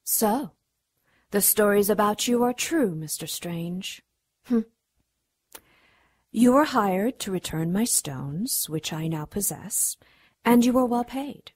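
A woman's voice: medium pitch, lively intonation, takes it slowly, long pauses, a little mellow, slightly rough, no echo, clean studio sound. A woman playing an imperious, seductive demon in a radio drama, haughty and menacing. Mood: silky, sly.

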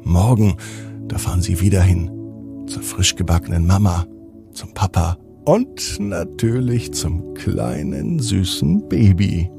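A man's voice: sits low, expressive, slow, gravelly, over music. A man narrating a bedtime story for children as the host and storyteller.

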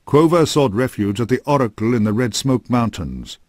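A man narrating a scripted dark fantasy game story in a grave, measured storytelling manner. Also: medium pitch, lively intonation, no room sound, clean studio sound.

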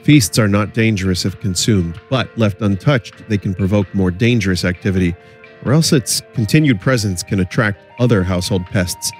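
A man with a fairly low voice streams a game. He reads entries aloud and comments as he goes, with music softly behind him. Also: moderate pace. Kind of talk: live game commentary, reading game text aloud.

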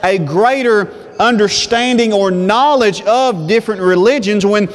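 Slow, high-voiced preacher in a church. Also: male voice. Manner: slow, deliberate pulpit delivery. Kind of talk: sermon. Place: church sanctuary with reverb. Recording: long church reverb.

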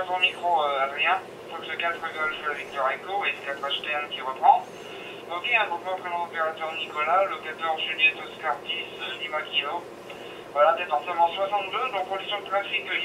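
A man's voice: on the high side, steady tone, a little fast. A man, an amateur operator talking informally over a digital radio link. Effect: digital radio transmission. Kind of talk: informal two-way ham radio exchange. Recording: noisy distant radio transmission from speaker.

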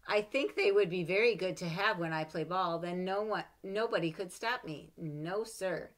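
Middle-aged woman, lowish voice, lively storybook reader with playful asides, home webcam. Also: moderate pace. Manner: expressive, animated read-aloud with playful asides. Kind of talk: reading a children's picture book aloud. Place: home room with bookshelves. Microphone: webcam at desk.

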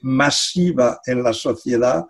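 Older Catalan man, husky video-call voice. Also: medium pitch, slow. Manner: hesitant, repeats words, laughs between phrases. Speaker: guest, psychology professor. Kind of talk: casual conversational interview. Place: home study with bookshelves. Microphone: video call webcam.

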